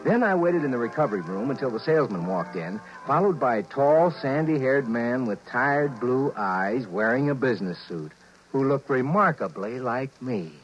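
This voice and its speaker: A man's voice: mid-range voice, expressive, normal speed, soft background music, lightly acted. An American man playing a wry, scheming married businessman who tells his own story in a 1950s radio drama. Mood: wry narration. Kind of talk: 1950s radio drama acting with first-person narration. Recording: dull, noisy 1950s radio recording.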